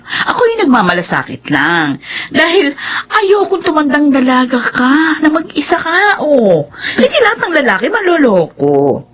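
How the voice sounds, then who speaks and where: A woman's voice: a little high, breathy edge, exaggerated voice. An actress playing a talkative middle-aged aunt in a radio drama, teasing her niece and then earnestly advising her.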